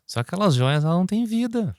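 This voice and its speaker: A man co-hosting a business podcast, talking through examples in a lively, animated way. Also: sits high, fast talker, clean tone, no echo, studio quality. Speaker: podcast co-host. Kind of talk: casual business chat.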